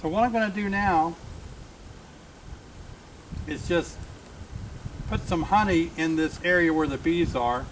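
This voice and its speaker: Calm man explaining beekeeping outdoors. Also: on the high side, measured pace, long pauses, far from the mic, slight background noise, rough recording. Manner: calm, explanatory, thinking aloud while working. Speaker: beekeeper teaching a hive inspection. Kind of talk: outdoor demonstration narration. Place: outdoors in a yard beside a beehive.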